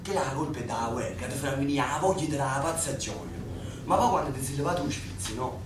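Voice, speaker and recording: An actor playing an earnest young Neapolitan man in a radio drama, gentle and self-mocking. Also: medium pitch, animated, fast, lightly acted. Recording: echoey, distant, noisy.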